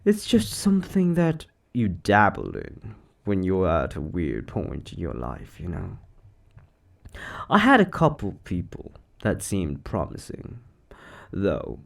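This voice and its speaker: Guy acting as chatty quirky boss of a spooky shop, upbeat, lowish gravelly voice, casual roleplay audio. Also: takes it slowly, full character voice. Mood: chatty, wistful. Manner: animated, chatty, hesitant asides.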